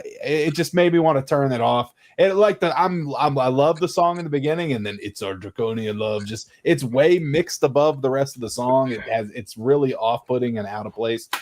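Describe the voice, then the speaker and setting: A man's voice: medium pitch, darkish tone. A man on a podcast panel, casually explaining his opinions about music.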